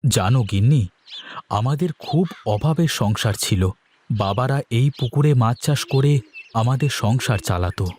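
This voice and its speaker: An absent-minded village shopkeeper and husband played by a man from a cartoon. His mid-pitched voice is very animated, with a mild, placating way about it. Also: normal speed, slight background noise, close to an everyday voice. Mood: wistful. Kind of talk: cartoon voice acting.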